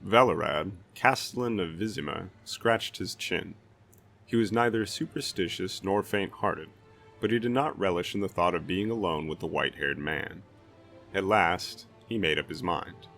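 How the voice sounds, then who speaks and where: A man's voice: low-pitched, normal speed, gravelly, fairly bright tone, soft background music. A man reading fantasy fiction aloud as a dramatic narrator. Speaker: audiobook reader.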